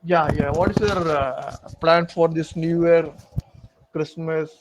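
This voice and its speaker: A man from southern India, practicing English in a casual conversation, speaking haltingly in simple phrases with many pauses. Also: on the high side, steady tone, background noise. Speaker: English learner.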